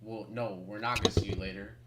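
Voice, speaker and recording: A man's voice: lowish voice, fairly even intonation, fast, crowd noise. A young man co-commentating a race casually, teasing and slangy. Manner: teasing, slangy, says bro.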